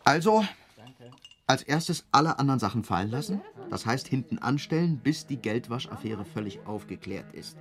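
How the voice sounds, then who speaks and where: A male actor plays an officious police underling in a radio drama. His mid-pitched voice is formal and bureaucratic, with a hesitant, halting delivery. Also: lively intonation, natural voice.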